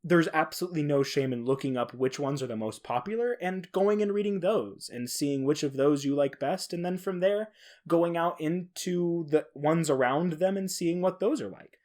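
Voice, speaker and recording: A man's voice: fairly high pitch, normal speed, darkish tone. A man hosting a podcast alone, talking casually in an informal, enthusiastic manner. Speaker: podcast host. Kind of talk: casual solo podcast talk.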